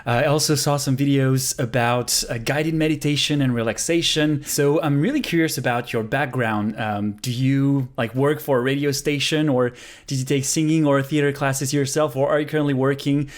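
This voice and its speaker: A man's voice: mid-range voice, steady tone, normal speed. A man hosting a podcast interview, asking friendly, curious questions. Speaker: podcast host.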